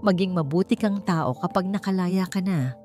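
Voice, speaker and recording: Soft-spoken, resolute young mother voiced by a woman. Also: fairly low pitch, moderate pace, lightly acted. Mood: quiet, resolute. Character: wounded, resolute young mother, quietly forgiving. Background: background music.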